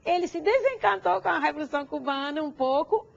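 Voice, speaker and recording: A woman's voice: high voice, animated, a little fast, vocal fry. A Cuban-born woman speaking Portuguese with a foreign accent, talking as an interview guest and agreeing often as she goes. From Cuba. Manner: frequent agreeing interjections and laughs. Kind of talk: conversational interview. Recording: dull lo-fi broadcast audio.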